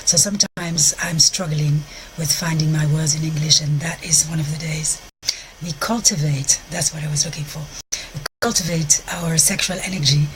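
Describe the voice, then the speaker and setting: A Belgian woman speaking English with a French accent, hosting a live stream outdoors in a park and pausing often to find her words. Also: on the low side, a little hiss or hum, rough recording. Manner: searches for words, frequent restarts, soft gentle delivery. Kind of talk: live-stream talk to viewers.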